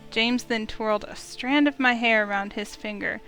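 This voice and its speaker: Female let's play host, fairly high voice, reads and presents a visual novel over soft game music. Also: moderate pace. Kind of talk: casual intro and read-aloud story narration.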